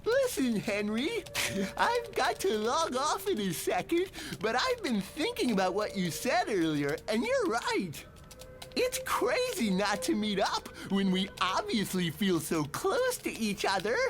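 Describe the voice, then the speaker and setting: Motion comic casting: coy, flustered female sweetheart, high and wildly swooping, music behind. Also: a little slow. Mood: sweet, coaxing. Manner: animated, coy. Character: flustered woman putting on sweet online-date charm.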